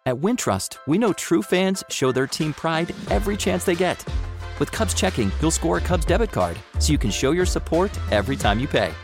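A man's voice: fairly high pitch, sing-song, normal speed, over music. A man reading a scripted advertisement as an announcer. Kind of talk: scripted ad read.

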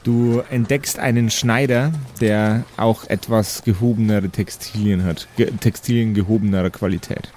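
A man's voice: fairly low pitch, steady tone, moderate pace, hardly any pauses, darkish tone. A man working as game master, narrating an improvised tabletop game and bantering with players. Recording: clearly audible background noise.

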